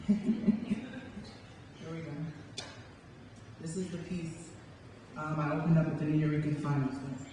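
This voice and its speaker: A woman's voice: low-pitched, level tone, unhurried, long pauses. Young New woman in an echoing hall. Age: young adult. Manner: dramatic, rhythmic, emphatic delivery when reciting. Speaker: spoken word poet. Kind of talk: live spoken word performance, with casual chat to the audience. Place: large indoor hall before an audience. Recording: echoey hall from far off, noisy.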